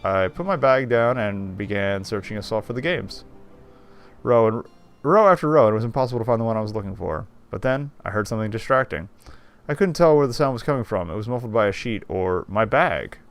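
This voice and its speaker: A man's voice: fairly low pitch, lively intonation, brisk, faint music behind. A man reading game text aloud and adding wry, casual commentary as a streamer.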